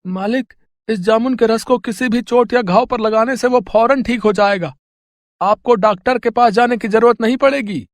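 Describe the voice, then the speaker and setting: A man's voice: very high-pitched, moderate pace, dry recording. A man playing an honest, humble village berry seller in a storybook tale, speaking eagerly and respectfully. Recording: music under the voice.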